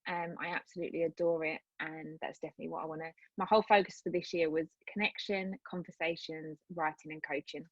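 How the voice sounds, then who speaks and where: A woman's voice: low-pitched, fairly even intonation, fast, hardly any pauses, no room sound, dull audio. A woman, a coach, talking earnestly and rambling a little as an interview guest over a video call. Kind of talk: casual interview chat.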